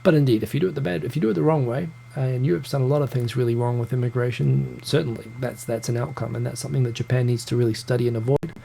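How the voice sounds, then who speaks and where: A man's voice: mid-range voice, fairly even intonation, fast talker, gravelly, hardly any pauses, mellow. NZ-accented male host, casual live-stream chatter, greets viewers by name, stumbles and restarts, faint hum. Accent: New Zealand. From New Zealand. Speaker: live show host reading viewer comments. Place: home room in Tokyo.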